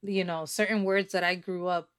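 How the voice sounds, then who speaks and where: Young adult woman, podcast guest, chatty, laughs a lot, lowish voice. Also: flat delivery, normal speed, fairly bright tone, clean tone. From Puerto Rican and Dominican family, in the US. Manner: laughs often, chatty and agreeable. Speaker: podcast guest and fellow podcast host. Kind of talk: casual podcast chat.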